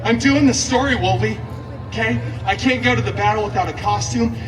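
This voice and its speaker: A man's voice: high-pitched, fairly quick, heavy background noise. A man playing an irreverent superhero tells a comic story live to an outdoor crowd, sarcastic and mock-dramatic, recorded at a distance. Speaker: costumed theme park stage storyteller. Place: outdoor theme park show, music and crowd behind. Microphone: amplified show voice filmed from the audience.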